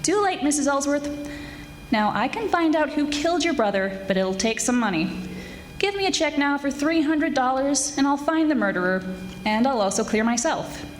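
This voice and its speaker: A woman playing a brash, wisecracking young private detective in a 1940s-style radio drama, sassy and quick-witted. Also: high-pitched, expressive, a little hiss or hum. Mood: pushy, confident. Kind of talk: 1940s radio detective acting, dialogue and narration. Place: live stage performance of a radio play.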